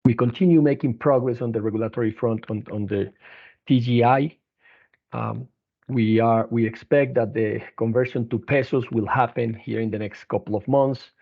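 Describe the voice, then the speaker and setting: A man presenting company financial results as an executive on an earnings conference call, speaking haltingly with frequent restarts. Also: medium pitch, steady tone, gravelly. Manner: halting, hesitant delivery with repeated restarts. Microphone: telephone conference line. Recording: narrow, tinny phone-line conference call.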